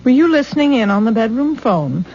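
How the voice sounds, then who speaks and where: A muffled vintage radio play with a no-nonsense household protector. Her voice is fairly high and husky, and she speaks firmly and dryly, with little patience for nonsense. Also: big pitch swings, a little fast, mellow. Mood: firm, suspicious. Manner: skeptical, firm, dry. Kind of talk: 1970s radio drama acting. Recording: muffled, dull old radio broadcast.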